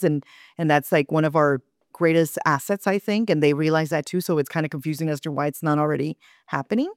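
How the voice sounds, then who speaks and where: A woman's voice: low-pitched, no room sound, clean studio sound. A woman speaking earnestly on a panel discussion, explaining at length with quoted asides. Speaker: panel guest, community organizer.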